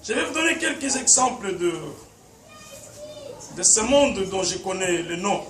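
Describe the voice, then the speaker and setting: A man's voice: high-pitched, lively intonation, unhurried, a bit bright. A man preaching a teaching sermon as a pastor, with emphatic repetition and frequent chuckles, speaking French, which is not his first language. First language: a language other than French. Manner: emphatic, repeats words, chuckles often. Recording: echoey, distant mic, background noise.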